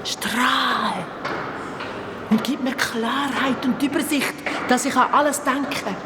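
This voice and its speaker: A woman's voice: high voice, slow pace, very noisy. Woman playing an imperious female ruler, echoing hall. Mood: commanding. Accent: Swiss German. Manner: barking orders, imperious. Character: commanding female ruler, power-hungry boss. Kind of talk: Swiss German radio play acting. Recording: echoey hall reverb.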